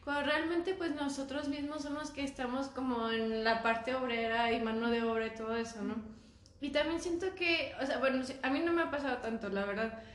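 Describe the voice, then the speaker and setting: A woman's voice: high-pitched, fairly even intonation, a little fast, echoey room, a little hiss or hum. A Mexican woman with a Mexican accent, hosting a casual chat in a slangy, laughing way. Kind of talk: casual conversation.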